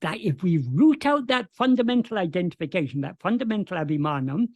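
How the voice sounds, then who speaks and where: A man's voice: high voice, big pitch swings, brisk, no echo. A man on a video call, answering questions about spiritual teachings in an earnest way, often restarting his sentences. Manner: earnest, frequent restarts and stammered starts. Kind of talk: spoken explanation of spiritual teachings.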